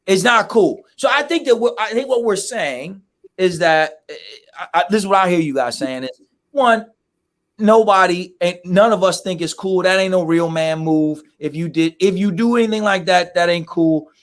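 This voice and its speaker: A hoarse man hosting a casual discussion, asking probing questions and drawing the others out. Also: sits high, normal speed.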